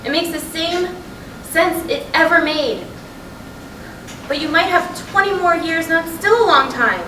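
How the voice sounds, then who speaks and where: Woman acting high-voiced, exasperated middle-aged wife, echoey stage. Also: measured pace. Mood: coaxing. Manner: insistent, exasperated, emotional stage delivery. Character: frustrated, restless middle-aged wife urging her husband on. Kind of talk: staged play reading. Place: roomy hall, staged reading at a college. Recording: distant echoey stage recording with noise.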